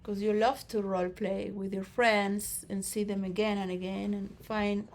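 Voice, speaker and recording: A woman giving a rambling philosophical monologue to role players, shifting between soft musing and loud emotional outbursts. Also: medium pitch. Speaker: speaker addressing role players.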